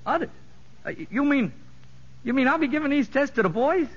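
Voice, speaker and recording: An actor playing a wily, wisecracking safecracker convict in a 1950s radio drama, talking streetwise slang with mock-earnest innocence. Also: male voice, very high voice, animated, brisk. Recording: muffled vintage radio broadcast.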